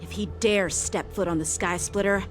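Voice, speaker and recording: A woman's voice: mid-range voice, steady tone, moderate pace, soft background music, lightly acted. A woman voicing an earnest, formal young male swordsman and lieutenant in an anime-style game. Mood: earnest resolve.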